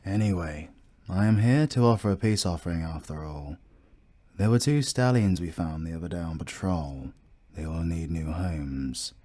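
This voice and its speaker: Man playing a stiff British fantasy captain, low-pitched, dark-toned, formal, proud yet courteous, audio roleplay. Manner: formal, measured. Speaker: voice actor.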